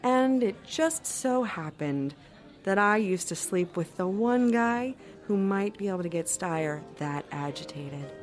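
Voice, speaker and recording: A woman's voice: medium pitch, lively intonation, measured pace, faint music behind, close to an everyday voice. An actress playing a shrewd, sardonic woman who narrates an audio drama in a wry, confiding manner. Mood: wry, scheming.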